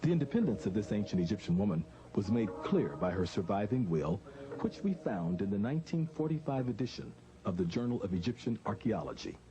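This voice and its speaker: An American man, a host with a measured, formal way of narrating a scripted documentary and interviewing. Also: lowish voice, sing-song, muffled recording.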